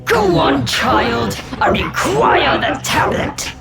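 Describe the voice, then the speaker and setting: An actor playing a cruel, commanding scarecrow monster in an audio drama, gravelly and theatrically menacing, speaking slowly. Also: high-pitched, big pitch swings, loud music behind the voice, full character voice. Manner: slow, theatrical, menacing delivery. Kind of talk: audio drama villain acting.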